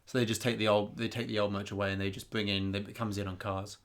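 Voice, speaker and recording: A man's voice: fairly low pitch, flat delivery, quick pace, fairly bright tone. Man playing an earnest, rambling fan podcast co-host. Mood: earnestly explaining. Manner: rambling, over-explaining, earnest. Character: earnest, devoted male fan podcaster, eager explainer, indulgent sidekick. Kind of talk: mock fan podcast banter.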